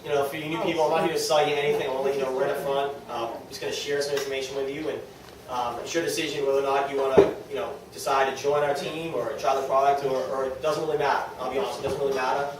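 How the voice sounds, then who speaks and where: A man's voice: mid-range voice, little pitch movement, racing. Echoey, distant New England man addressing a group. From New England, United States. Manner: conversational, self-deprecating, often checks in with listeners. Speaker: speaker at a direct-sales team meeting. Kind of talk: informal motivational talk to a small group. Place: echoey indoor meeting room with an audience. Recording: very echoey room, distant mic, faint noise.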